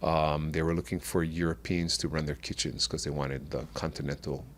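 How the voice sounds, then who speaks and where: A middle-aged man from Oahu with a Hawaiian accent, talking as a podcast guest in a halting way with many restarts. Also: extremely low pitch, steady tone, slight background noise. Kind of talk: casual conversational interview.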